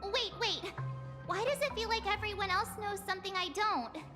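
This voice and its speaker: A woman's voice: very high voice, moderate pace. A woman voicing a bubbly, impulsive, puzzled teenage girl in a video game, with an informal, questioning way of talking. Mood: confused, eager. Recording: long hall reverb, music underneath.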